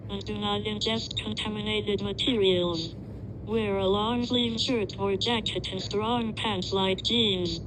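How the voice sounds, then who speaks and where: A woman playing an impassive emergency broadcast announcer, reading grim survival instructions in a formal, measured, detached way for an analog horror piece. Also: mid-range voice, noisy background, natural voice.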